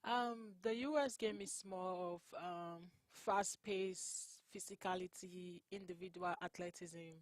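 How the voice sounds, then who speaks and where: A young woman from Nigeria with a Nigerian accent, whose first language is Igbo, answering interview questions in an earnest, unhurried way and laughing often. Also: fairly low pitch, fairly even intonation, dry recording. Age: young adult. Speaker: college basketball player.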